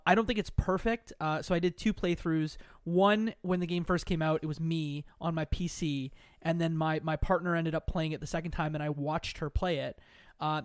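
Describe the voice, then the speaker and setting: A man's voice: on the high side, smooth, dry recording, studio quality. A man chatting casually and enthusiastically as a guest in a podcast discussion.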